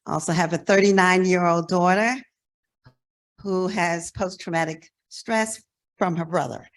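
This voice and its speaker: A woman's voice: lowish voice, fairly even intonation, measured pace. An American woman in her sixties giving a conference presentation in a frank, emphatic, conversational way. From New Jersey, United States. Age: 60s. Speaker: presenter, nonprofit executive director.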